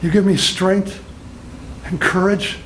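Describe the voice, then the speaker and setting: Pleading, guilt-ridden boyfriend, acted by a man, emotional and halting, recorded with noticeable room noise. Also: high-pitched, flat delivery, fairly bright tone. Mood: pleading, remorseful. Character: remorseful boyfriend, pleading and self-punishing. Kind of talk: dramatic monologue audition. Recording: noisy room.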